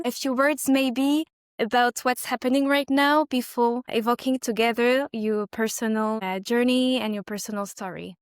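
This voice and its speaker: A woman's voice: a little high, smooth, no room sound, studio quality. A woman hosting a podcast interview, speaking formally and politely with carefully phrased introductions and questions. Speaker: podcast host.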